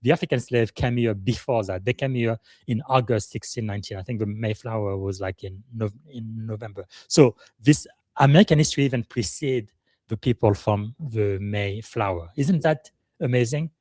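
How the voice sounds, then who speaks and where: A fairly low-voiced man speaks in a live podcast monologue. His delivery is earnest and emphatic, and he often pauses mid-phrase with "uh" as he gathers his thoughts. The sound is close and dry, with no room echo. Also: a little mellow. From Republic of the Congo. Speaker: podcast host and leadership coach.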